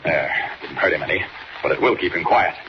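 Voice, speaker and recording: A man acting as a brave, urgent superhero from a 1940s radio serial. His voice sits low and commanding, under heavy noise in a muffled old recording. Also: a little fast, natural voice. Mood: urgent, firm. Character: brave forceful male superhero, urgent and determined.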